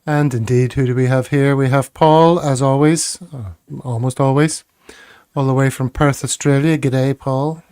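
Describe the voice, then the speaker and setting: A man's voice: medium pitch, fairly even intonation, normal speed, darkish tone, smooth, no echo, clean studio sound. A man hosting a casual football podcast, upbeat and chatty as he greets guests and reads out comments. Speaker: podcast host.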